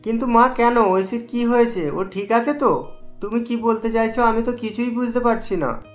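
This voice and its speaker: A man's voice: very high voice, normal speed, faint music behind. A man voicing a caring, patient young husband and son in a Bengali cartoon, speaking reassuringly. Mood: alarmed.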